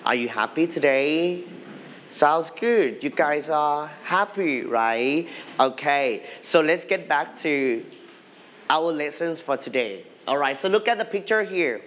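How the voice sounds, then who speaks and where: A male English teacher in a studio classroom, slowly leading a televised lesson and having students repeat words after him. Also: fairly high pitch, expressive, a bit bright.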